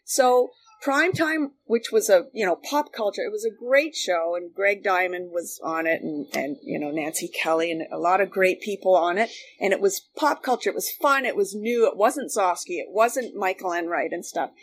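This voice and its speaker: A woman's voice: mid-range voice, expressive, moderate pace, few pauses, slight background noise. A Canadian woman reminiscing casually as a guest, chatty and emphatic, rambling from one thought to the next. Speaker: guest, former radio worker.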